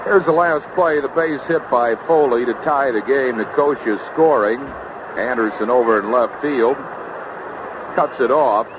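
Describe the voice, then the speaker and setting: A dull, noisy 1970s radio tape carries an American man announcing baseball. His voice is medium-pitched, and he gives live commentary in short, clipped phrases. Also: unhurried.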